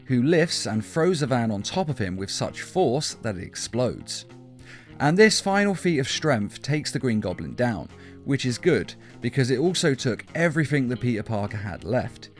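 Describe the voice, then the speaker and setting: A man reading a scripted countdown as an emphatic, opinionated fan commentator. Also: medium pitch. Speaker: video list narrator. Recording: music behind the voice. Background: guitar backing music.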